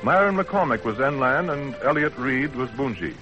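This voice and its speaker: A man's voice: medium pitch, lively intonation, normal speed, over music. A man reading scripted announcements in a polished, formal 1930s radio announcer style. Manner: polished, formal sales delivery. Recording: dull vintage 1930s radio recording.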